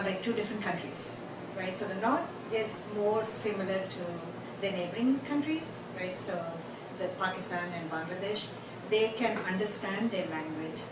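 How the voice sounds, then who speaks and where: A middle-aged woman with an Indian accent, telling a personal story on stage in a rambling, chatty way with many fillers. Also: mid-range voice. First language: Tamil. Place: bar venue. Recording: echoey venue, mic from a distance, noisy.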